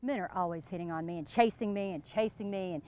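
A woman's voice: on the low side, fast talker, mellow, natural voice. An American woman, probably in her thirties, playing a flirty, giggly, boastful glamorous wife in an improvised, gushing mock interview. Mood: sassy, boastful. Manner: coy, gushing, suggestive, giggly. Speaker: interviewee in character. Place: outdoors beside a lake.

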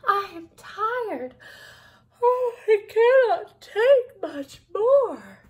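A tired little lady mouse from a storybook, played by a woman, high and plaintive. She pleads in drawn-out, swooping whines. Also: expressive, darkish tone, muffled recording, exaggerated voice. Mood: weary, pleading. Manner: plaintive, whining, drawn-out words. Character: weary female mouse pleading for sleep. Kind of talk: children's storybook read-aloud.

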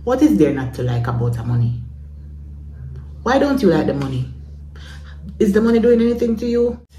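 A young Jamaican woman vlogging at home, talking casually to her phone camera in a chatty, relaxed way and slipping into patois now and then. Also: medium pitch, moderate pace, slight background noise, rough recording. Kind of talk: casual vlog chat to camera. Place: small home bathroom and bedroom, indoors. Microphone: phone camera at arm's length.

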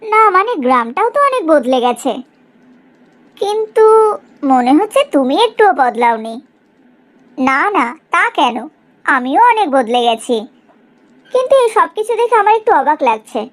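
Woman doing a cartoon village mom, really high voice, super animated, proud and sharp tongued, gossipy. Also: moderate pace, pauses often. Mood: probing, pointed. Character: middle-aged village mother, proud, sharp-tongued, visiting or hosting. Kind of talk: Bengali cartoon story dialogue.

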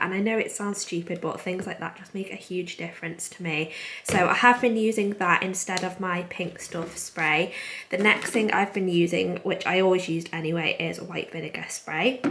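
A young woman with a slightly low voice talks her viewers through her home in a relaxed, chatty way, peppering her lines with ums and self-deprecating asides. She is recorded on a camera mic, with a faint hum of room noise underneath. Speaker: homemaking vlogger. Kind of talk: casual clean-with-me chat. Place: home kitchen and bathroom indoors.

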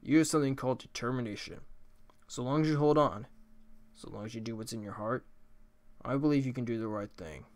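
A man voicing a laid-back skeleton from a video game, a joker and judge who speaks in casual, deliberate phrases. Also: mid-range voice, natural voice. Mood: sincere, encouraging. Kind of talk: character voice acting of video game dialogue.

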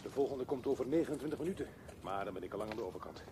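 A man's voice: medium pitch, animated, very fast, lightly acted. Male actor as brooding solitary man, radio play monologue, restless, theatrical, swooping intonation, faint background noise. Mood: restless, urging himself. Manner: theatrical, musing, broken phrasing. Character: brooding, restless man thinking aloud, alone.

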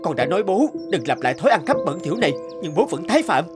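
An impatient, proud grown son in an animated tale. His voice is fairly high and very animated, with an emphatic scolding delivery. Music is clearly heard behind him. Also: fast talker. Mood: angry. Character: impatient, busy adult son, proud and easily exasperated. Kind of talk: animated moral story dubbing.

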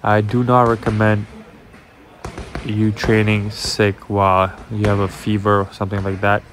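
A young man with a cold narrates his boxing and conditioning routine over the footage. His voice sits a little low, and he coaches viewers in a steady, instructive way, with a faint hiss behind him. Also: a little slow. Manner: instructive, addresses viewers as guys. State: has a cold, congested. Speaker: amateur boxer and fitness vlogger. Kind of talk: voice-over workout walkthrough.

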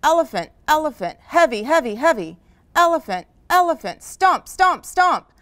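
A woman's voice: sits high, very animated, normal speed, a bit bright, no room sound, pitched above the performer's own voice. A woman voicing a big, boastful, bossy elephant in a children's story, with emphatic delivery. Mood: chanting, excited. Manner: emphatic, chanting, declaring.

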